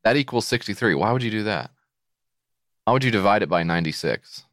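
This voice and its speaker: An American man co-hosting a comedy podcast, sarcastic and jokey, reading an ad in mock-excited bursts. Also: on the low side, fairly quick, dry recording, clean studio sound. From United States. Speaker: podcast co-host. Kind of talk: comedic podcast banter and read-out ad.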